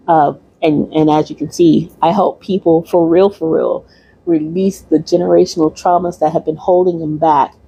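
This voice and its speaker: A woman's voice: low-pitched, creaky, mellow, breathy edge, dry recording, rough recording. A woman from Michigan, a holistic practitioner, wrapping up her livestream class in a rambling, repeating way and talking to viewers. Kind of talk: casual livestream class wrap-up.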